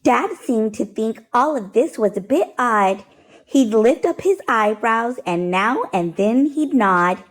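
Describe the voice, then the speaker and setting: A woman hosting storytime, reading a rhyming picture book aloud in an expressive, rhythmic, sing-song way. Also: a little high, slow.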